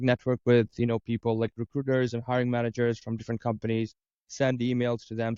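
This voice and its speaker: Mellow, smooth-voiced man from Dubai. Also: medium pitch, dry recording, highs cut off. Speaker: interview guest, career coach and business owner. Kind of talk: conversational interview answers.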